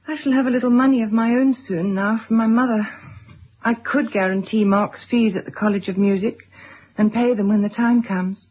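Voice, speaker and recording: A weary, dutiful wife and mother in an old radio play. She sounds middle-aged, fairly high yet husky, and speaks with polite restraint. The sound is dull. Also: steady tone, normal speed. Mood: resigned, earnest. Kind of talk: 1970s radio drama acting.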